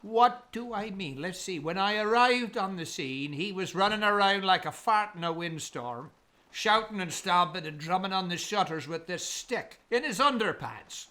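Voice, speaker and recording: An actor as a forceful, sarcastic cop. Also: medium pitch, lively intonation, moderate pace. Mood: sarcastic, irritated. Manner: wisecracking old-time slang, bossy. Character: gruff, sarcastic, exasperated 1930s police officer. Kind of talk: radio play acting.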